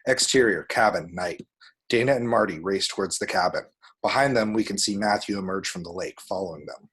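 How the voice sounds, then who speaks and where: Male narrator, husky, fairly deep, scripted action descriptions, dramatic delivery. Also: a little fast, a bit bright, poor audio. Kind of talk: scripted screenplay narration with spoken sound effects.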